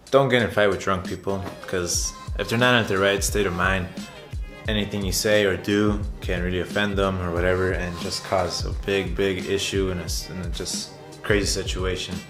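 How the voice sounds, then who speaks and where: A young man with a lowish voice chats casually to his viewers like a vlogger telling a story. He is animated and informal. The room is noticeably echoey, with faint music underneath. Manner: animated retelling, chuckles often, addresses viewers as guys. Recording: roomy echo, music underneath.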